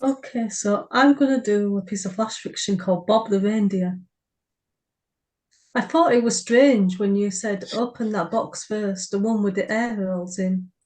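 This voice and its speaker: Woman w average pitch reading flash fiction as a podcast cohost. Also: moderate pace, darkish tone. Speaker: podcast co-host and writer. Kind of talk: story reading.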